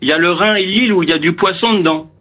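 A man's voice: sits high, rapid-fire, highs cut off. A man from Paris with a Parisian accent, calling in to a radio show in a laid-back way. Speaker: caller.

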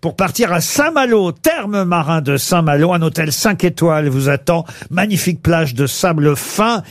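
A man hosting a radio game show, chatting with callers in a teasing, quick manner and laughing often. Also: fairly high pitch, lively intonation, dry recording, studio quality. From Normandy, France. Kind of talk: lively radio banter with callers.

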